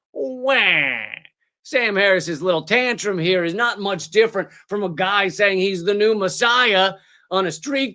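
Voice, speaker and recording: A man hosting a political comedy show, delivering sarcastic, mocking commentary with shouted bits. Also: sits high. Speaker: host.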